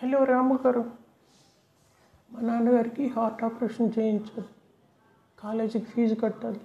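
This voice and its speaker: Guy doing a polite coaxing young woman on the phone, pitched way up, roomy dull sound. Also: level tone, normal speed, dark tone. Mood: pleading. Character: polite young woman on a matrimony call, pleading for money.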